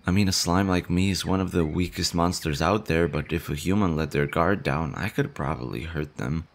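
Nervous male slime monster, played by a man, stammers, anxious, low voice, soft birdsong behind. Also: normal speed, vocal fry. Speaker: voice actor. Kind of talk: audio roleplay.

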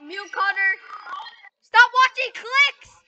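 A young gamer chatting casually with friends while playing, excitable and given to foul-mouthed teasing. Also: very high voice, slow, dull audio. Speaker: video game player. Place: gaming voice chat over screen capture.

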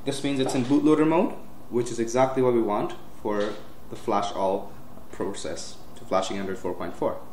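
A young man giving a casual step-by-step computer tutorial, with frequent uhs and quick self-corrections. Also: medium pitch, fairly even intonation, darkish tone. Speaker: tech tutorial host. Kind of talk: screen-recorded software walkthrough. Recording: roomy with faint hiss.

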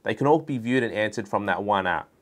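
A man in his forties with a fairly low voice presents a scripted product explainer. He speaks informally and instructively, as a presenter for a tech business would in an online video. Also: lively intonation, fast talker. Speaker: presenter for a networking and security business. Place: indoor studio room at a desk.

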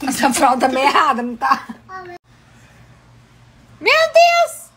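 Young woman high-pitched playful mom, lilting baby talk, unhurried, echoey home room. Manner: sing-song, high and animated, chanting nursery rhymes. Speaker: mother playing with her babies. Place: home living room and kitchen, indoors. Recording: echoey room with faint noise.

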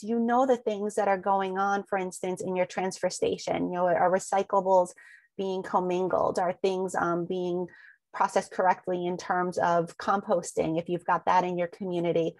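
A woman's voice: medium pitch, dry recording. A woman presenting a webinar over slides, talking through a long run of rhetorical questions with frequent ums, and sounding a little sniffly from allergies.

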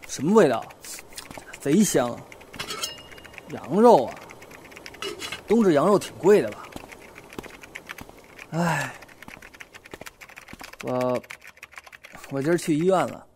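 A man voice-acting an impulsive, soft-hearted young bookstore boss in a Chinese audio drama, exclaiming and animated. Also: on the high side, slow pace, pauses often, slight background noise. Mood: eager, then hesitant. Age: young adult. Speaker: voice actor.

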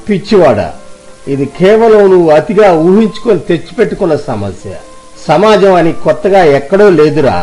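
A kindly older village uncle, acted by a man in an audio moral story. His voice sits fairly high for a male. He counsels with soothing, fatherly earnestness over faint music. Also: a little mellow, lightly acted, rough recording.

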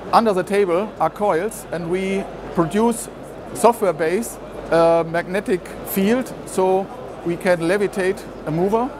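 Fiftyish man, high voice, explains a product in stilted English; trade hall noise clearly behind. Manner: explanatory, slightly halting non-native English. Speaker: product expert interviewed at trade fair. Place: large indoor trade fair hall. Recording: noisy trade fair hall.

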